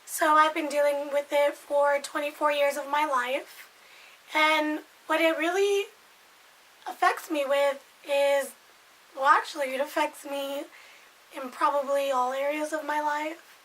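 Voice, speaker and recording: Woman, 20s, hoarse raspy voice pitched high, loose rambling vlog delivery. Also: steady tone, measured pace, a bit bright, poor audio. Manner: rambling, loses her thread and repeats herself. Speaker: vlogger. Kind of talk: casual chat to camera.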